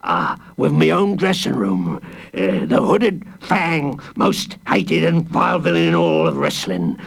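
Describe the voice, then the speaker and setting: A man's voice: sing-song, unhurried, gravelly, fairly bright tone, husky, exaggerated voice. A man voicing a blustering fanged villain who was once a wrestler and now runs a prison, with growls and stammers. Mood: boastful. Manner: growling, stammering with ums, bursts of booming laughter. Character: blustering hooded wrestler villain warden with fangs.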